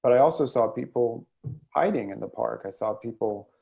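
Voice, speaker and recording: A man answering as an interview guest in a thoughtful, halting, measured way. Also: medium pitch, vocal fry, warm tone, highs cut off. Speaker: guest, editor and poet. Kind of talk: reflective interview conversation.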